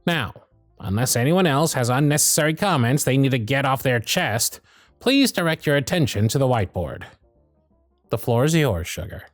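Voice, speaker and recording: Man acting an expressive, bossy but fond elf supervisor. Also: mid-range voice, normal speed, dry recording, soft background music, close to an everyday voice. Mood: commanding, irritated. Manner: bossy yet affectionate, teasing, expressive. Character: male Christmas elf supervisor, firm, gruff but fond, protective. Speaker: audio roleplay voice actor. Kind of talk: scripted ASMR roleplay acting. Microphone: close, dry studio mic.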